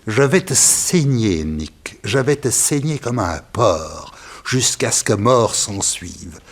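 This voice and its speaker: Husky, menacing crime boss. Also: male voice, lowish voice, expressive, slow pace, heavily acted. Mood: cruel, menacing. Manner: menacing, gloating, theatrical. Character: sinister male crime boss who drinks blood. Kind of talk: 1960s French radio serial acting.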